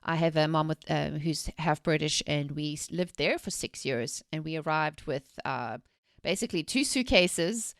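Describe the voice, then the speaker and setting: A South African woman in her forties, a mindset coach telling her story in a lively, rambling interview. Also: sits low, normal speed, dry recording, studio quality. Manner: energetic, rambling with restarts and laughs.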